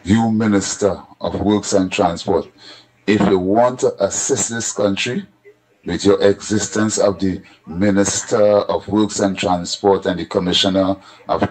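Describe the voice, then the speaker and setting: A low-voiced Trinidadian man delivers a call-in rant in a voice note. He is insistent and emphatic, hammering the same point again and again. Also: a little mellow, low-quality recording. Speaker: caller in a voice note.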